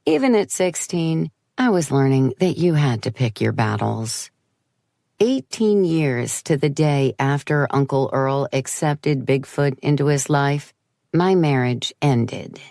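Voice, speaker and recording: A woman's voice: rumbling low, lively intonation, takes it slowly, creaky, mellow, no room sound, clean studio sound. A woman narrating a first-person story as an audiobook, with a wry, understated delivery.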